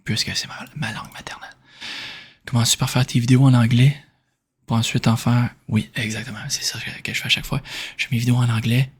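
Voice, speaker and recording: A man from Quebec with a Québécois French accent, speaking in a soft, breathy whisper as he informally answers questions in an ASMR style. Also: medium pitch, little pitch movement, fast talker. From Quebec, Canada. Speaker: ASMR video creator answering viewer questions.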